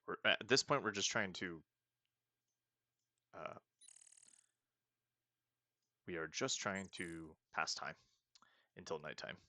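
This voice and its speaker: A man casually commenting on a game he is playing, planning his next moves aloud and often trailing off into soft mutters. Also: deep voice, measured pace, no echo. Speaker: let's play host.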